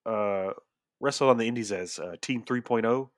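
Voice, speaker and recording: A man's voice: fairly low pitch, normal speed, no echo, clean studio sound. A man co-hosting a podcast, sounding nasal and sniffly from allergies, easygoing and agreeable. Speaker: podcast co-host. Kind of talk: casual chat.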